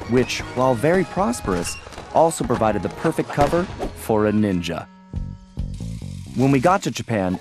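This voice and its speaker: A man plays a cocky young cartoon raccoon thief with a mid-pitched, very animated voice. He is easygoing and wry, like a hero narrating his own caper, with music playing behind him. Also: close to an everyday voice. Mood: dramatic, wry. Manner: wry, smooth storytelling. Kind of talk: animated video game narration and dialogue. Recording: clear music bed behind.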